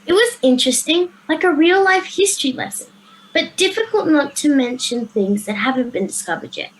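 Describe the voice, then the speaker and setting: A woman's voice: high voice, lively intonation, moderate pace. A girl of ten or eleven, playing an earnest schoolgirl in a radio play. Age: child, 10 to 11. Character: earnest time-travelling schoolgirl. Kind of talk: children's radio play acting. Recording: faintly noisy home video-call recording.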